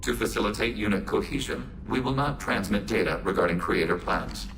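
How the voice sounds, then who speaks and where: A man playing a calm, literal-minded machine android in a video game, speaking formally and without emotion through a robotic filter. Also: on the low side, a little fast. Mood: flat, formal. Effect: synthetic robot filter. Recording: clear background noise and ambience.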